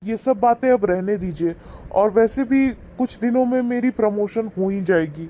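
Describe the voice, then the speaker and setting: A man playing a smug, boastful, wealthy son-in-law in an animated moral story, with a patronising, sneering manner. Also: sits high, moderate pace, noisy background, lightly acted. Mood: smugly mocking.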